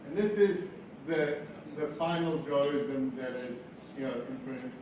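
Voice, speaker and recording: A man's voice: mid-range voice, a little slow, slight background noise. South African male speaker, wry and informal business talk, very reverberant room, distant mic. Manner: wry, self-deprecating humor. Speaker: business founder, guest speaker. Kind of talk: informal motivational business speech.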